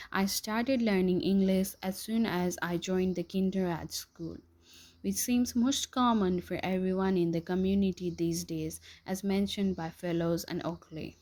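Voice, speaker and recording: A young woman from Nepal with a Nepali accent, reading her own podcast in a steady, careful way. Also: lowish voice. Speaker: student podcaster. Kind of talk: read-aloud personal podcast.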